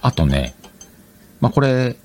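A man's voice: medium pitch, very animated, slow pace, a little mellow, slight background noise. A man hosting a solo podcast in a casual chat, playfully talking to himself and correcting himself as he goes. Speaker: podcast host. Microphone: external microphone, recorded on a computer.

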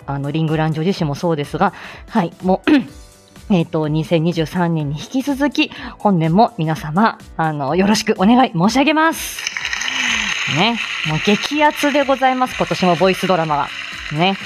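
A woman hosts a casual livestream over faint background music. Her voice sits a little low for a woman. She chats politely, in a flustered, giggly, self-deprecating manner as she makes announcements. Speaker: livestream host. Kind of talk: casual live chat with announcements.

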